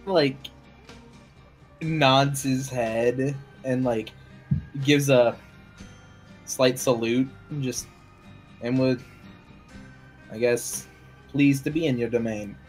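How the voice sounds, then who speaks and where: A man's voice: medium pitch, steady tone, slow pace, long pauses, soft background music. A man playing a tabletop roleplaying game, talking casually and describing his character's actions.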